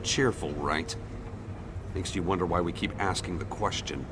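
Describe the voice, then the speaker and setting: Man playing a low-voiced, bitter young skeptic. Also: moderate pace, noisy background, natural voice. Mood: sardonic. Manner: blunt, scornful. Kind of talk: AI-voiced fantasy game dialogue.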